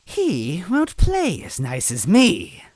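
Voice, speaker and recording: A woman's voice: lowish voice, wildly swooping pitch, slow, darkish tone, dry recording, studio quality, pitched above the performer's own voice, heavily acted. A woman voicing a hideous, gleefully cruel bean witch in a storybook reading, taunting with a theatrical sneer. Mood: gleeful mockery.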